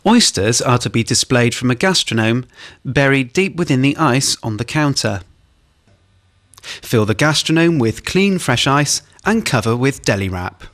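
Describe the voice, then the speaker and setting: A man reading scripted instructional narration for a corporate training film, in a measured way. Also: mid-range voice, expressive, a bit bright.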